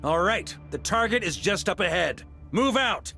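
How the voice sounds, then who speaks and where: A bold, easygoing knight commander in a video game, with a bright, high voice that leaps up and down as he rallies his troops. He gives orders in a relaxed, friendly way. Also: moderate pace, vocal fry, soft background music, heavily acted. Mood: steady command.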